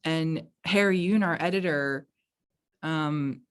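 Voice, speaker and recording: A woman with an American accent answering casually as an interviewed film producer, reflective and halting, often saying "like". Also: sits low, clear, resonant tone. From United States. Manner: halting, reflective, frequent 'like'. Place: remote virtual event.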